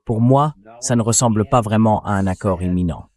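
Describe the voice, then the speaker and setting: A man's voice: fairly low pitch, brisk, darkish tone. A man hosting a political interview, speaking in a dubbed news commentary style. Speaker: interview host.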